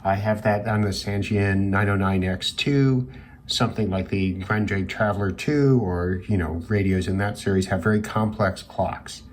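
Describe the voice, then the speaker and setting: A man with a low-pitched voice talks through a product review informally. His delivery is measured and thoughtful, with hesitant pauses as he goes. Noticeable noise sits behind his voice. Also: steady tone. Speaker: radio hobbyist reviewer. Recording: clearly noisy background hiss.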